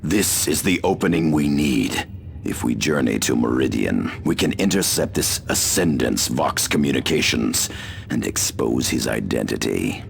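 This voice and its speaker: A grim armored commander gives measured orders in a game cutscene. His voice is extremely deep and heavily gravelly. A faint hum sits behind him. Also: animated, exaggerated voice.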